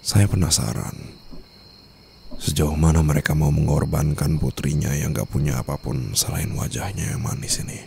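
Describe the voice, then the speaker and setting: A man acting as a menacing mafia boss and new husband in a romance roleplay. His voice is extremely deep and dark, with a heavy gravelly fry that sinks into murmurs. He speaks in a formal, smug, controlled way, teasing as he threatens. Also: steady tone, normal speed, a little hiss or hum, full character voice. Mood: cold, candid. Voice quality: very deep, gravelly, often dropping to a breathy murmur.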